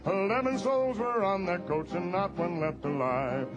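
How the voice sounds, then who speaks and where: A man with a fairly high voice sings a western ballad slowly and smoothly, holding long notes. The sound comes from an old, muffled record, with a loud band playing behind him. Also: loud music behind the voice. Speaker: singer. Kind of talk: sung 1940s western ballad.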